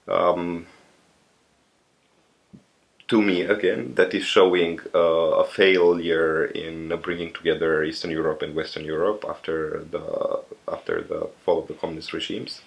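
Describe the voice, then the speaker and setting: A man in his thirties from Romania, giving reflective interview answers in a thoughtful, halting way with many pauses and restarts. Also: low-pitched, vocal fry.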